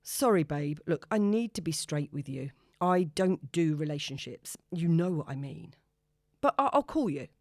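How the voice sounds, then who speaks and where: A woman voicing a smooth, breezy, noncommittal man on a date, with a casual, glib manner, in an audiobook. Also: low voice, expressive, normal speed, a little mellow, no echo, studio quality. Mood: breezy, evasive. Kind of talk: audiobook character dialogue.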